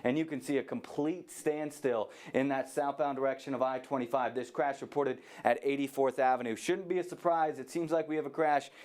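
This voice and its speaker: A man's voice: medium pitch, fairly even intonation, a little fast, hardly any pauses, darkish tone. An American man giving a conversational live TV traffic report.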